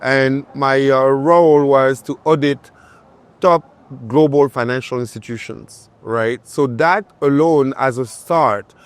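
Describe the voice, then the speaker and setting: A man's voice: mid-range voice, takes it slowly, clear, resonant tone. A man from Cameroon and France answering as an interview guest in conversation, often checking his points with "right?". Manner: punctuates points with tag questions like "right?". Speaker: interview guest, finance entrepreneur.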